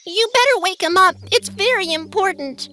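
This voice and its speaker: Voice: extremely high pitch, expressive, moderate pace, pushed and bright, faint music behind, exaggerated voice. A performer voicing a small, anxious young animal in a children's story, earnest and pleading. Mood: worried, pleading. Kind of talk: children's storybook character acting.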